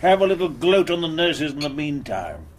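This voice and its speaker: An actor playing a cheerful, chatty British hospital patient in a radio drama. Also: male voice, fairly high pitch, big pitch swings, normal speed. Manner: chirpy, chatty.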